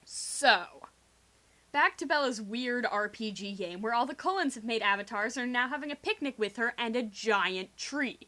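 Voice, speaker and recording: A young woman doing a theatrical, sarcastic comic dramatic reading in slangy language, recorded quietly on a homemade game microphone rig. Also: on the high side, animated, normal speed. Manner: theatrical, sarcastic, slangy, acts out quoted dialogue. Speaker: storyteller. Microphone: video game microphone with improvised pop filter.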